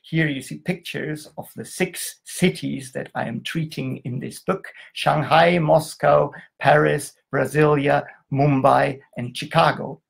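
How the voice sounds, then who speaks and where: A middle-aged man from Germany giving an online lecture over a video call, talking in a halting way with restarts. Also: on the high side, steady tone, gravelly, slightly rough. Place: indoor room, video call.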